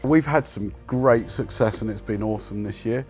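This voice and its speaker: A man's voice: fairly low pitch, moderate pace, a little mellow, faint music behind. A man speaking as team manager in a documentary interview, upbeat and enthusiastic about his team.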